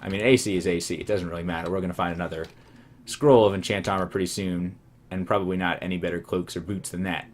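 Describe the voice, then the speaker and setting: A man casually commenting on his own gameplay, rambling and self-amused, his voice going hoarse. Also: sits low, fairly even intonation, fast, a little hiss or hum. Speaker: let's play commentator.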